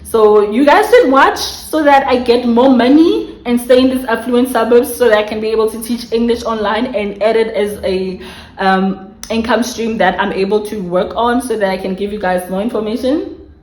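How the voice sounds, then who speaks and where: A woman's voice: a little high, normal speed, a little mellow, reverberant, rough recording. A woman from South Africa with a South African accent, casually explaining tips to her viewers at home, often restarting her phrases. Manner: chatty, restarts phrases, addresses viewers directly. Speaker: vlogger giving career tips. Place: home room by a street.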